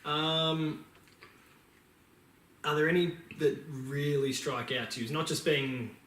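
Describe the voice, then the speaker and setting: A man's voice: on the high side, fairly even intonation, slow pace, fairly bright tone, distant mic. A man co-hosting a podcast, speaking in a casual, conversational style. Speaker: podcast co-host. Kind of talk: casual chat. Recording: roomy echo, off-mic, faint noise.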